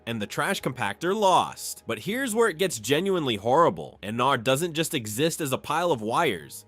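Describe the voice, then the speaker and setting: A man delivers scripted explainer narration about horror material. His voice sits slightly high and swings widely in pitch as he stresses dramatic points. In between, he drops in dry, wry understatement. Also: a bit bright. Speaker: video narrator, explainer host.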